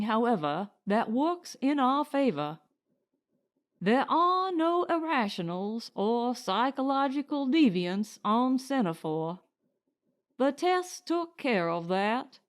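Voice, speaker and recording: A woman's voice: a little high, big pitch swings, takes it slowly. A woman voicing a weathered middle-aged male colony director in a storybook, frank, formal and matter-of-fact.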